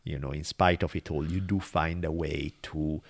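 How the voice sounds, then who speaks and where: A man's voice: a bass voice, steady tone, moderate pace. A man with an Italian accent talking casually and reflectively, in an earnest, emphatic way with some swearing. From Italy.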